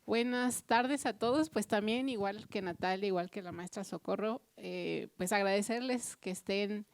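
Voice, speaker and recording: A woman whose first language is Purépecha, speaking as a translator at a book presentation in earnest, reflective formal remarks, often pausing on "eh". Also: medium pitch, no echo. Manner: earnest, reflective, with frequent "eh" fillers. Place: official venue before an audience.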